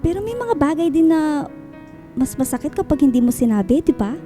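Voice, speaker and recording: A young Filipino student in a radio drama, chatty yet unsure of her heart. Her voice is very high and gently mellow, with light music underneath. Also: big pitch swings, fairly quick. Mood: teasing. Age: young adult. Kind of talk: radio drama acting with English code-switching.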